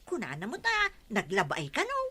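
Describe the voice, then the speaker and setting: A woman with an Ilocano Filipino accent playing a gossipy, flattering villager who is eager to please, in a radio comedy drama. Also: mid-range voice, moderate pace, husky, heavily acted.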